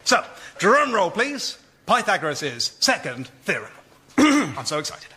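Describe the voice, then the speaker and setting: A man's voice: sits high, big pitch swings, a little slow, sharp tone, slightly rough, full character voice. An actor playing a gushing, pushy agent in a radio comedy sketch, effusive and punchy like a salesman. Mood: gushing excitement. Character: gushing, pushy male agent peddling mathematical theorems.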